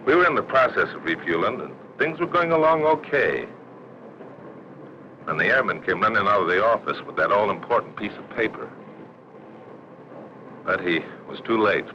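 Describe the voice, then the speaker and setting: An actor playing a harried Air Force sergeant, explaining himself defensively in a 1960s training film. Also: male voice, on the low side, lively intonation, normal speed, pauses often, close to an everyday voice. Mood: regretful. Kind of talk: scripted first-person reenactment in 1960s training film. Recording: dull, noisy 1960s film soundtrack.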